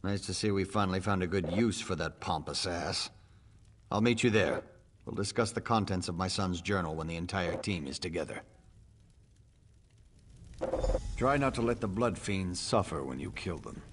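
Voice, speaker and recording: A man's voice: low voice, moderate pace, a little hiss or hum. An actor playing a dry, haughty middle-aged father in a fantasy video game, speaking in a formal, disdainful way. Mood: dry, grim. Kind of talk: scripted video game dialogue.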